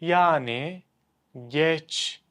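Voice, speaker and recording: A young man, a native Turkish speaker, giving a scripted online lesson as a teacher, explaining clearly and slowing down to spell out example phrases. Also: a little high, a bit bright.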